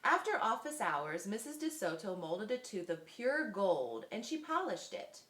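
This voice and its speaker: A woman's voice: medium pitch, very animated, measured pace, a bit bright. A woman reading a children's story aloud expressively, as a school librarian does. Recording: echoey room.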